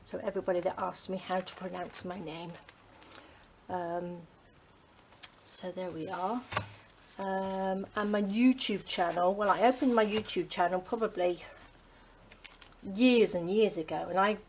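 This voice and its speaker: A middle-aged woman from the UK, chatting casually to her craft channel, rambling with many ums and dropping to a soft near-whisper as she works. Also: mid-range voice, steady tone, a little slow, a little hiss or hum. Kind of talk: casual chat while crafting.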